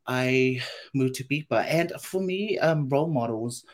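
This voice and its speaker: Bubbly Samoan-raised actress guest. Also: female voice, extremely low pitch, expressive. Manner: bubbly, playful, quick to laugh. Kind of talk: interview chat.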